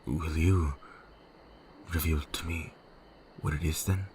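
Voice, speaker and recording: A man playing a reserved, courteous lord in an audio drama, speaking formally and haltingly. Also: rumbling low, fairly even intonation, a little mellow, slight background noise. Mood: concerned. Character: reserved, courteous male lord, awkward and hesitant in love. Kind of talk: fan audio drama acting.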